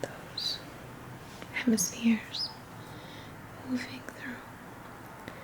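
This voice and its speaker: A woman leading an ASMR guided energy-healing session in a breathy whisper, soothing and repeating short phrases. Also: on the high side, level tone, extremely slow, rough recording. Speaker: ASMR reiki practitioner and host.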